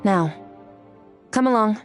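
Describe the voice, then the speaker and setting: A woman playing an aloof, powerful warrior mentor in a video game, speaking in a cool, detached, blunt and measured manner. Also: medium pitch, lively intonation, faint music behind. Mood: cold, stern. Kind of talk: video game character dialogue.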